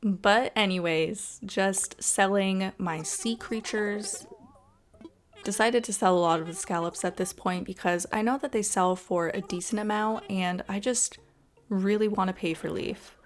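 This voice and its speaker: A woman's voice: mid-range voice, unhurried. A woman giving casual, chatty gameplay commentary in an informal way. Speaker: gaming video host.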